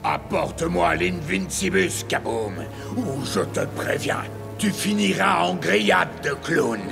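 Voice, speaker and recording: A man's voice: on the high side, expressive, slow, vocal fry, exaggerated voice. A man playing a menacing older cartoon villain lord who commands and threatens. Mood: threatening. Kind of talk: animated cartoon dub acting. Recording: loud music behind the voice.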